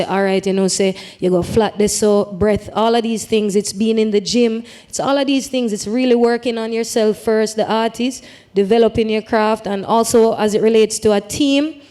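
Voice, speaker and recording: A woman's voice: a little high, dark tone. A woman with a Jamaican accent, a music artist speaking on a conference panel, thoughtful and drifting into patois phrasing. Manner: reflective, slips into patois phrasing. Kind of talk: panel discussion.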